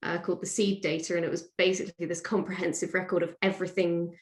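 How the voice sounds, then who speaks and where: A woman speaks as a guest in a casual interview, explaining things conversationally. Her voice is on the low side, and the room around her is clearly echoey. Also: flat delivery, fast talker. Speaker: podcast guest, author. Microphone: own microphone on remote call. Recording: roomy, echoey sound.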